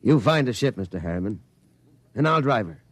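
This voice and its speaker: An actor playing a confident, plain-spoken rocket captain in a 1950s radio drama. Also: male voice, lowish voice, sing-song, fairly quick, husky, dry recording. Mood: assured.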